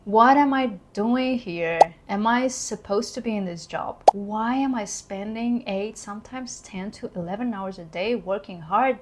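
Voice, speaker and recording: A woman in her thirties from Kazakhstan with a Kazakh accent, speaking English as a second language in an earnest, reflective personal vlog. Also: medium pitch. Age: 30s. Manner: earnest, reflective, occasionally laughing. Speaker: vlogger.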